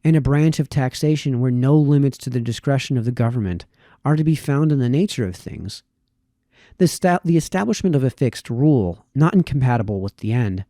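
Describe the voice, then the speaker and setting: A man reads formal, old-fashioned prose aloud. His voice is mid-pitched and warm, with a relaxed, mellow tone. He phrases deliberately, occasionally tripping on a word, and the sound is dry with no room echo. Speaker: reader, host of a reading series. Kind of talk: reading aloud formal historical essays.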